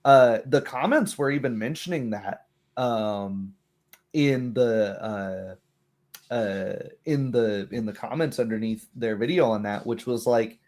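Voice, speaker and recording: A man's voice: mid-range voice, takes it slowly, a little mellow. Man chatting casually as a game master. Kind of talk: casual chat.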